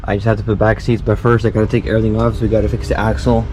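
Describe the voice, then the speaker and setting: Raspy, under-the-weather man. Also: on the low side, level tone, over music. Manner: chatty, encouraging, halting phrasing. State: raspy from feeling unwell. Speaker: car vlogger. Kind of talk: casual talk while working on a car. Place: outdoors and in a garage by the car.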